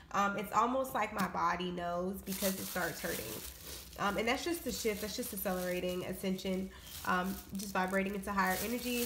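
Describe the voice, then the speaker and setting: Young woman, chatty grocery haul vlog, mid-pitched voice, phone camera at home, faint noise behind. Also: fairly bright tone, poor audio. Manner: chatty, filler-heavy, upbeat. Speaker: vlogger. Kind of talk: casual grocery haul chat. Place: indoors at home.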